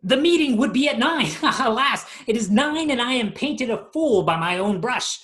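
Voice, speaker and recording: A woman's voice: a little high, expressive, moderate pace, close to an everyday voice. A woman voicing a hapless, wordy underling in a mock-archaic radio play, formal and theatrical. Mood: sheepish, florid. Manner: florid, theatrical.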